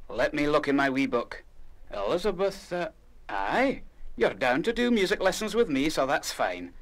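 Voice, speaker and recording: Gentle scottish music master, coaxing, voice swoops up and down, radio drama. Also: male voice, a little high, sing-song, normal speed. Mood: friendly, welcoming. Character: kindly, encouraging Scottish music master at boarding school. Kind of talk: full-cast radio play acting.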